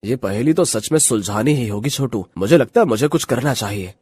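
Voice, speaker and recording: A man playing an honest, earnest wronged hotel owner in an animated moral story, with dramatic delivery. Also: mid-range voice, brisk, breathy edge, no room sound. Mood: resolved.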